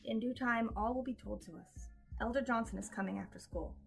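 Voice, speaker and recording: A woman's voice: fairly high pitch, fairly quick, creaky, soft background music. Woman acting composed, practical mother. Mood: composed, instructive. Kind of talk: audio drama acting.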